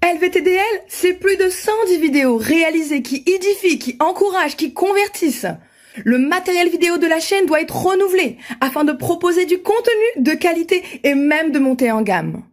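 A woman reading a smooth promotional fundraising appeal for a channel. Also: very high voice, lively intonation, normal speed. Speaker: channel announcer.

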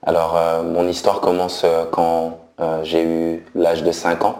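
Slow, hesitant deep-voiced man. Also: monotone, a little mellow, roomy sound. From France. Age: 30s. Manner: slow and hesitant, many fillers. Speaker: believer giving a testimony. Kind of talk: personal faith testimony.

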